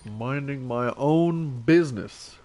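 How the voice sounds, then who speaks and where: A man's voice: medium pitch, takes it slowly, a little mellow. A man giving casual live let's play commentary in short, dry, joking remarks. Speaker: game streamer.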